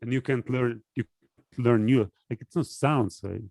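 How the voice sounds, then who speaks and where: A man talks through an idea in casual conversation, stopping and restarting often as he searches for words. His voice sits at an ordinary middle pitch. The sound is close and dry, with no room echo. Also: creaky, darkish tone. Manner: halting, full of restarts and fillers while explaining.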